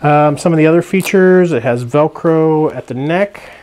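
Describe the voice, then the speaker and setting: A man's voice: on the high side, moderate pace, darkish tone, a little hiss or hum. Rambling man reviewing camping gear. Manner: rambling with frequent restarts and fillers. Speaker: hiking channel host.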